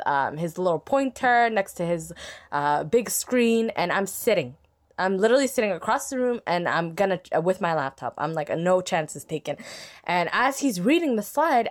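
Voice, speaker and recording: Animated laughing woman telling a story. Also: fairly low pitch. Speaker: podcast guest. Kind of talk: casual storytelling chat.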